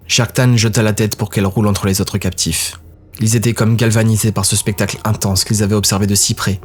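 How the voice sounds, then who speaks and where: Low-voiced man narrating a dark tale. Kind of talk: audio drama narration.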